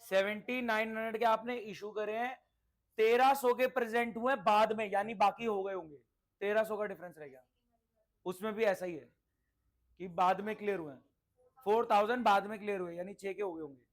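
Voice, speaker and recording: A man's voice: high-pitched, steady tone, fairly quick. A man teaching a class interactively, instructive and repetitive, often checking understanding with questions and mixing in English terms. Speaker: accountancy teacher.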